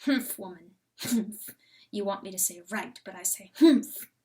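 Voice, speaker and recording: A woman's voice: mid-range voice, measured pace, mellow, pitched below the performer's own voice, natural voice. A woman voicing a grumpy, anxious, skinny male coyote husband, huffy and muttering, in a dramatic storybook reading. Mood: sulky.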